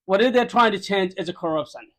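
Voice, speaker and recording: A man's voice: high-pitched, quick pace, fairly bright tone. A man from Nepal with a Nepali accent, giving a conversational first-hand account as a podcast guest in emphatic, repetitive non-native English phrasing.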